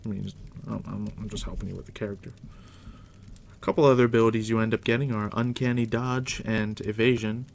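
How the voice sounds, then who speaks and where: Deep, gravelly, hushed man. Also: a little fast. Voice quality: deep, hushed near-whisper. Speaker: ASMR roleplay host, playing a dungeon master guiding a new player. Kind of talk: ASMR roleplay, softly spoken explaining. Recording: steady background noise, crackling fire ambience. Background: fire crackle.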